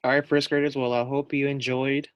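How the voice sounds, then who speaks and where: Young teacher, male, reads to kids, gentle and steady. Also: medium pitch, smooth, no room sound, lo-fi sound. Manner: gentle, unhurried, with friendly asides. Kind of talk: reading a picture book aloud to first graders.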